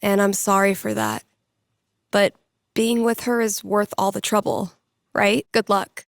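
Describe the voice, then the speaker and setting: Woman acting as playful young female radio DJ, video game character, wry, conversational, teasing, mid-pitched, close dry sound. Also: steady tone, unhurried, no room sound, lightly acted. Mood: earnest, supportive. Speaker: radio DJ taking calls and telling fortunes. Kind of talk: playful radio host patter, storytelling to callers.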